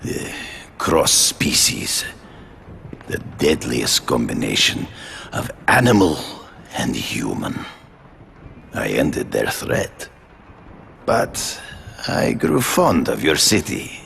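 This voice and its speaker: An actor playing a proud, menacing big-game hunter in a video game, a growling gravelly voice and formal, theatrical delivery. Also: male voice, a bass voice, wildly swooping pitch, slow pace, pauses often, fairly bright tone, slight background noise, heavily acted. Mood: boastful. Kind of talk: video game dramatic acting.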